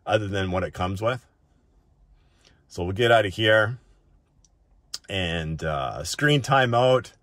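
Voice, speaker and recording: A man's voice: fairly low pitch, animated, takes it slowly, pauses often, a bit bright, no echo, clean studio sound. A man giving a casual, unscripted tech walk-through in an informal way, often checking in with the listener as he goes. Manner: informal, often ends points with a checking "right?". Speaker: tech reviewer presenting a settings walk-through.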